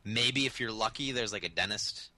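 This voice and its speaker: A young man on a remote call, hoarse from a cold, chatting loosely as a podcast co-host. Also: on the low side, moderate pace, pushed and bright, no room sound. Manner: rambling, laid-back. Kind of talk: casual chat. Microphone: remote call over the internet.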